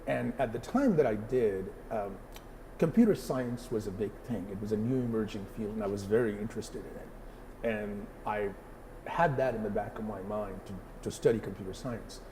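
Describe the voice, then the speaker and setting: A man's voice: on the low side, moderate pace, mellow, a little hiss or hum, low-quality recording. A middle-aged man from Pakistan, a photographer answering interview questions thoughtfully, with many fillers and restarts.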